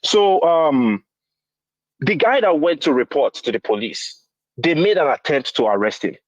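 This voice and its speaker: A man's voice: fairly high pitch, animated, unhurried, no echo. A man with a Nigerian accent giving casual commentary as a caller to a show, heard over a phone call. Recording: dull, dry phone-call sound.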